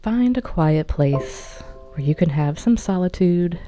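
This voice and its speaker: A woman narrating a guided meditation in a calm, measured, instructional manner. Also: fairly low pitch. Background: meditation music.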